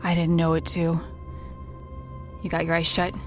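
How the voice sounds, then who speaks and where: An actress playing a haunted woman in an audio drama, confiding a ghost story in an anxious, halting, repetitive way. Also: deep voice, completely flat delivery, soft background music, close to an everyday voice. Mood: eerie, intent.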